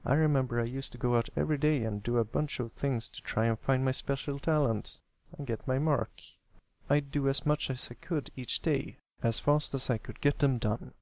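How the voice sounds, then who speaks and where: A man voicing a kindly, encouraging older brother pony with a relaxed, reassuring way of talking, in a read-aloud story. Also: mid-range voice, moderate pace, dry recording, pitched above the performer's own voice, natural voice. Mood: reminiscing.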